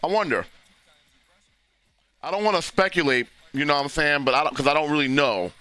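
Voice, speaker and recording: A man, hoarse and losing his voice, hosting a casual live football reaction stream and talking loosely with frequent restarts. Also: a little high, animated, a little fast, bright, forceful tone, no echo. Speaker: livestream host reacting to a football game.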